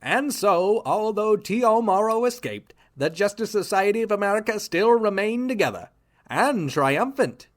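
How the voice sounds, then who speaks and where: A man's voice: high voice, lively intonation, a little slow. A man narrating and announcing in a theatrical 1940s radio style. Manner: showy, upbeat, theatrical.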